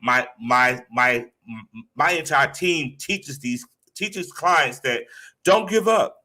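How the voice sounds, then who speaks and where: Man with a stutter, proud motivational therapist talk, sharp bright voice, very emphatic. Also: fairly high pitch, expressive, takes it slowly. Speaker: guest therapist.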